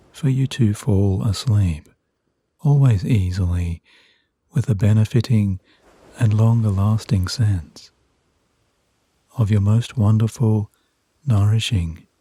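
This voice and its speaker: A man narrating guided sleep hypnosis in a soothing, hushed, measured way with long pauses between phrases. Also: on the low side, husky.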